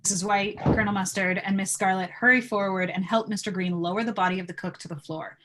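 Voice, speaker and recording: A woman's voice: mid-range voice, steady tone, quick pace, a bit bright, rough recording. A woman reading stage directions as narrator in a live table read of a script.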